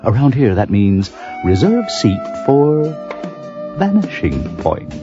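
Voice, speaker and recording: A sly, sardonic radio drama host, swooping dramatically and lingering over words. The old broadcast sounds dull, with music behind. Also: male voice, mid-range voice, wildly swooping pitch, slow pace. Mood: taunting, sly. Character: insinuating, sardonic, theatrical male mystery-series host.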